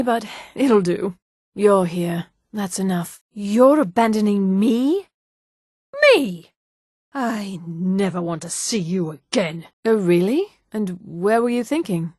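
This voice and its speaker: A woman voicing a haughty, poised female high elf in a fantasy video game, speaking in an articulate, theatrical manner. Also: a little mellow, dry recording, clean studio sound, natural voice. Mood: warm, affectionate, then hurt, scornful, then proud, flirtatious. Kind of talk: acted video game dialogue.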